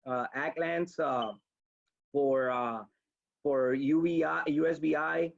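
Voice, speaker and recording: A man, a webinar instructor answering audience questions in a halting way, with many restarts. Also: on the high side, steady tone, vocal fry, dull audio. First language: Spanish.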